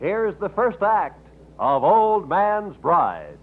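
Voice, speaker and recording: A male American radio announcer with a fairly high, very animated voice. His delivery is polished and emphatic, in a thin vintage broadcast recording. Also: very slow, phone-quality sound, soft background music. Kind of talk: 1950s radio announcing and sponsor ad read. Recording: thin, band-limited old radio recording.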